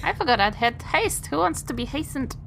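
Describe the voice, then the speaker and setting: A woman's voice: sits high, animated, fairly quick, vocal fry, fairly bright tone, faint music behind, low-quality recording. A woman chatting casually as a player in a tabletop role-playing game, with a playful, giggly manner. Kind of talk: casual chat.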